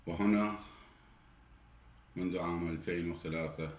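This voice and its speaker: Deep-voiced Iraqi man, indignant. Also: steady tone, moderate pace. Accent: Iraqi Arabic. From Iraq. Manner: indignant, grows heated and louder. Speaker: political commentator. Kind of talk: direct-to-camera political monologue. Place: indoor study with flag and cabinet. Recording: roomy indoor echo, faint hiss.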